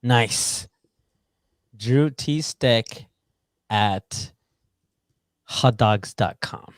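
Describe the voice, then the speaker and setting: A man co-hosting a podcast over a call in headphones, chatting playfully and teasing his co-host with quick laughs. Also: medium pitch, smooth, no room sound. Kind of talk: casual podcast chat. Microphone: podcast mic on a remote call, wearing headphones.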